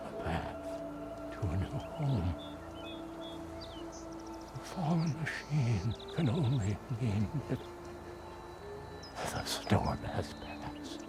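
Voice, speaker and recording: An actor playing a wounded, devout religious leader in a video game, speaking in halting, reverent proclamations. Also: male voice, medium pitch, big pitch swings. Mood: fervent hope. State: wounded, weak. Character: dying male religious leader, devout and feverishly hopeful. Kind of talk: video game cutscene acting. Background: music.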